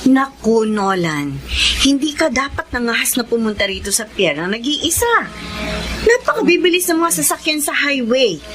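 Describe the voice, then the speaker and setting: An actress in a radio drama playing a caring, protective, motherly woman who gently fusses. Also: sits high, sing-song, moderate pace, music in the background. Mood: concerned, kindly. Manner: fussing, motherly.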